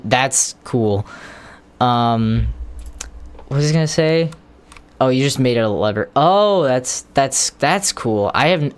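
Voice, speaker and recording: A man's voice: mid-range voice, clean tone. A man casually rambling on a live stream, hesitating with lots of ums and restarts while explaining tech steps to viewers.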